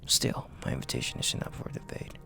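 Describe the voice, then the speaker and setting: A man playing a dominant, flirty and possessive mafia boss in an intimate audio roleplay, speaking in a gravelly, breathy half-whisper with a commanding, teasing manner. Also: sharp tone, faint music behind, close to an everyday voice. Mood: stern, commanding. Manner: slow, commanding, teasing, with drawn-out pauses.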